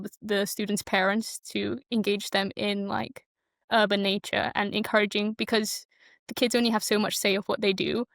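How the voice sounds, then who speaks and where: A young woman talks casually as a podcast guest, working out her thoughts as she goes in an informal way. Her pitch stays at a middling height and moves fairly little. The sound is dry, with little room in it. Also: a bit bright, no room sound. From Malaysia, moved to the UK as a child. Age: young adult. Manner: informal, loosely structured, thinking aloud. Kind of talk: casual, reflective discussion.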